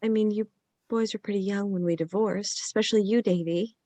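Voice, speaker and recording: A woman's voice: medium pitch, fairly even intonation, brisk, mellow, dry recording, natural voice. A woman reading the part of a hesitant mother talking to her young sons in a script read-through. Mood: hesitant, coaxing.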